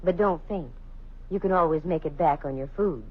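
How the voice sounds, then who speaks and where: An actress playing a confident, sharp-tongued female private detective in a vintage radio drama, with quick wisecracking banter. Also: low voice, phone-quality sound. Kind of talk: 1940s radio acting.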